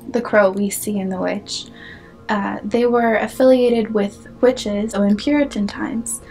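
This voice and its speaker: A young woman hosting a film analysis video, chatty with playful asides, slightly hoarse from losing her voice. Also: medium pitch, warm tone, low-quality recording. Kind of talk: film analysis talk to camera.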